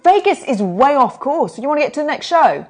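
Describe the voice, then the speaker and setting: A woman's voice: high voice, sing-song, faint music behind. A woman voicing a practical, skeptical young female driver of a band van. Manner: quick, skeptical, down-to-earth. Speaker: player.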